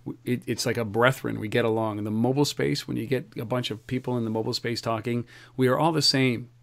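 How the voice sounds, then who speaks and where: A man hosting a tech podcast, talking in a lively, energetic way. He often repeats the first words of a sentence before he settles into it. His voice sits at an ordinary middle pitch. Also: quick pace, gravelly. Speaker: podcast host. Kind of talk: casual podcast chat.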